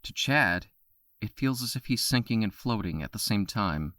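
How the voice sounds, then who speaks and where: A man narrating an audio drama with a steady, descriptive delivery. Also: lowish voice, normal speed, no echo, clean studio sound. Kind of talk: scripted narration.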